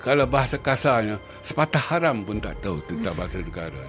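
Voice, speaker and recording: A man with a Malaysian accent, a professor giving frank, deliberate answers in an interview. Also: on the low side, big pitch swings, a bit bright, soft background music.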